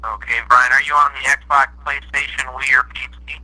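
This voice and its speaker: Man on sharp, raspy phone line. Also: mid-range voice, level tone, brisk. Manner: polite, procedural. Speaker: customer support agent. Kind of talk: phone customer service talk. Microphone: heard over a phone call. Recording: harsh, tinny telephone line.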